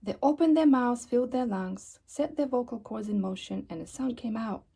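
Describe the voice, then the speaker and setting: A woman reading a children's story aloud expressively, with dramatic emphasis and pauses. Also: fairly high pitch, vocal fry, mellow, breathy edge. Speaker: storybook reader.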